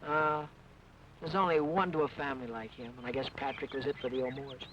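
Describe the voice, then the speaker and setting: A man's voice: mid-range voice, steady tone, a little fast, a bit bright, slight background noise, natural voice. An actor playing an eager, cheerful young Irish-American man who talks in a chatty way, in 1940s radio acting. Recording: muffled old radio broadcast.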